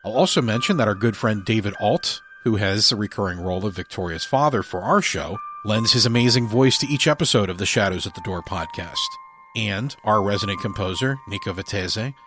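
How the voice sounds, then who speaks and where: A man's voice: on the low side, brisk, over music. A man hosting a podcast, reading a scripted introduction and announcements. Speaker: podcast host.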